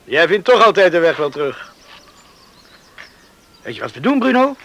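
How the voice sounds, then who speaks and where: A man's voice: a little high, sing-song, moderate pace, a bit bright. An actor playing a restless young man in a Dutch radio play, stammering a little when challenged. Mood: fond. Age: young adult. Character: restless young man craving freedom, talks to his dog.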